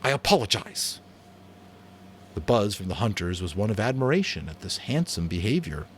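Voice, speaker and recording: A man narrating a novel as a dramatic audiobook reading, expressive and theatrical. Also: low voice, brisk, slight background noise.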